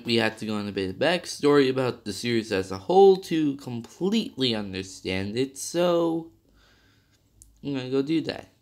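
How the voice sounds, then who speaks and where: A man's voice: medium pitch, big pitch swings, normal speed. A young man reviewing a video game in a rambling, casual way, with many fillers and self-corrections, still slightly nasal from being sick.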